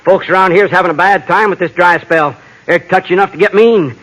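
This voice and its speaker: A man acting an irritable, blustering small-town sheriff with a gruff, blunt rural western American way of talking in an old radio western. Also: sits high, fairly quick, a bit bright, poor audio. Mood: stern, exasperated. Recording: hissy old radio recording.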